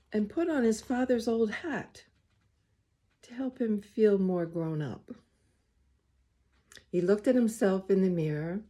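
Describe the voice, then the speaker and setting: A woman reading a picture book aloud to young children, expressive and gently pausing to speak to her listeners. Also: mid-range voice. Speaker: storybook reader, school well-being coordinator.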